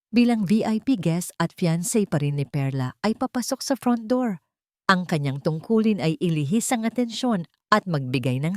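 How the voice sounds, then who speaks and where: A woman reading a scripted romance story as narrator, with deliberate, dramatic phrasing. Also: lowish voice, dark tone, dry recording, studio quality.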